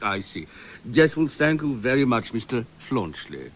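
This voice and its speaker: A courteous, earnest radio interviewer with a medium-pitched, lively intonation. His questions are polite and formal, in spoof broadcast style. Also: unhurried, heavy background noise. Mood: polite, serious.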